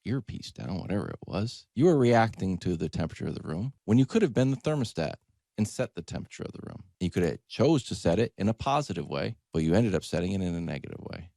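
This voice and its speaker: A man with a lowish voice hosts a sports talk show as a comic storyteller. His pitch swings widely as he stresses his points and acts out little exchanges. The sound is dry and close. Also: fast, gravelly, no echo. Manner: emphatic, animated, acts out quoted exchanges. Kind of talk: conversational comedic storytelling monologue.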